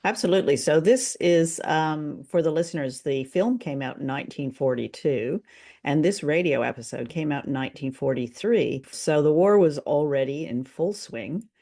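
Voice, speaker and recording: Creaky-voiced woman co-hosting a podcast. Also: low voice, animated, normal speed, dark tone. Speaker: podcast co-host. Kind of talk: casual conversational interviewing.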